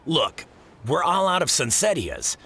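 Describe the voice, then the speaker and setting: A very animated, exasperated shop boss. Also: male voice, on the high side, a little slow, husky, heavily acted. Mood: grumbling, weary. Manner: scolding, animated, colloquial. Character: exasperated male fruit shop boss, gruff but fair. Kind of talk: English video game voice acting.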